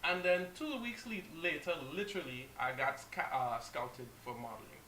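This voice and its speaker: Bright man in a roomy echo. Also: on the high side, moderate pace, slight background noise. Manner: animated anecdotes, acts out quotes, restarts. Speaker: model guest. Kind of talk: casual storytelling.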